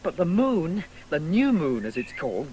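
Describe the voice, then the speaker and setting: Pedantic, haughty boy, animated and high. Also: moderate pace, a little hiss or hum, rough recording. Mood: insistent, scornful. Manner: pedantic, condescending explaining. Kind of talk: 1980s radio drama acting.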